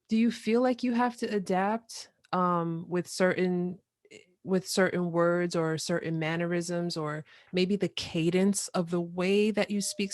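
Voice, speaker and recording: A woman hosting a podcast interview, asking measured, searching questions. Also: lowish voice, no room sound, clean studio sound. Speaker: podcast host.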